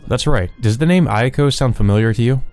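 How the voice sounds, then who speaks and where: A man's voice: medium pitch, a little fast, darkish tone, faint music behind, pitched above the performer's own voice, natural voice. A man playing a reserved, evasive young man, the protagonist of a visual novel. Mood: casual, questioning.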